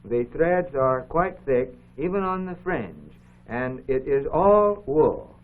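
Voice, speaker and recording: A thin, band-limited 1950s broadcast recording carries a man's voice of medium pitch. He explains things step by step like an educator, in a formal, measured way, commenting on what is being shown. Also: big pitch swings, phone-quality sound. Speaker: co-host of an educational program. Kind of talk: unscripted explanatory commentary over film footage.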